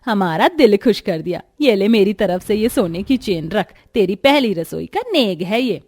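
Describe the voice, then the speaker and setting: A woman's voice: mid-range voice, expressive, moderate pace, darkish tone, lightly acted. A woman voicing a bossy, food-obsessed middle-aged mother-in-law in a Hindi cartoon story, commanding and insistent. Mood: pleased.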